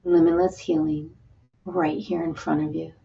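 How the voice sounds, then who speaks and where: A woman leading a guided healing meditation, talking slowly and soothingly with repeated cues to breathe. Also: deep voice, flat delivery, vocal fry, warm tone, far from the mic, a little hiss or hum. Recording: dull lo-fi sound, voice set back from the mic.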